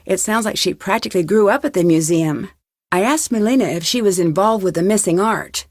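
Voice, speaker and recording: A young American woman voicing a polite, inquisitive amateur detective in a scripted adventure game, composed and clearly spoken. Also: mid-range voice, a little fast, no echo, clean studio sound, natural voice. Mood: curious, composed. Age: young adult.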